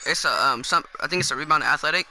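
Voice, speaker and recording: A young man chatting over voice chat while playing a basketball video game, quick and mocking. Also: fairly high pitch, over music. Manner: rapid, mocking. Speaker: gamer in voice chat. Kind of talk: casual party chat.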